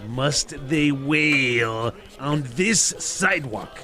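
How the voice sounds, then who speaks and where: A man's voice: medium pitch, animated, very slow, bright, forceful tone, faint music behind, full character voice. A man playing a miserly, irritable businessman in an audio drama, curt and scornful of Christmas. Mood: cold, scornful.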